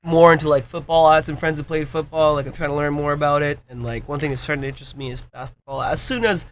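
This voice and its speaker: A man's voice: fairly high pitch, steady tone, quick pace. A man talking loosely on a podcast, swearing often and rambling with restarts. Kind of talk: casual chat.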